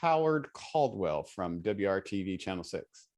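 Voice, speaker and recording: American guy from indiana, lowish voice, cohosting a chat show, kinda rambly and jokey. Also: very animated, a little slow, a little mellow. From Indiana, United States. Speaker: show co-host. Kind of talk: casual chat about favorite books.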